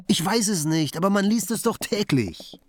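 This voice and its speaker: A brooding dreamer, swinging theatrical voice. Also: male voice, high voice, lively intonation, moderate pace, slight background noise. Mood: conspiratorial. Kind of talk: theatrical radio drama acting.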